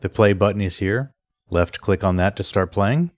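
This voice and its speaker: A man presenting an instructional software screencast in a methodical, step-by-step way. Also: on the low side, moderate pace, dry recording, clean studio sound. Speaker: tutorial presenter.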